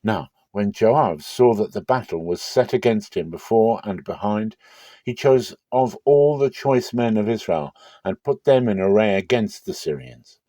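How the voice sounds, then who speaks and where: A man giving a dramatic, expressive reading of scripture in a measured, emphatic way. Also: lowish voice, dry recording. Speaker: Bible reader.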